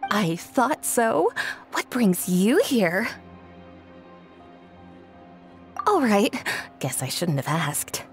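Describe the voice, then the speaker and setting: Lively, friendly shopkeeper. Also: female voice, medium pitch, slow, music in the background. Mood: welcoming. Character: friendly, obliging female shopkeeper and clothes designer. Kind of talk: video game voice acting.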